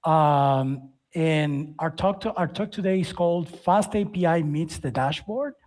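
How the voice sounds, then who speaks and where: A man's voice: a little high, a little mellow. A middle-aged man speaks. He presents to a tech audience informally, feeling his way through sentences and doubling back on words. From Dominican Republic. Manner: halting, repeats words and phrases. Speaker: conference speaker, software developer.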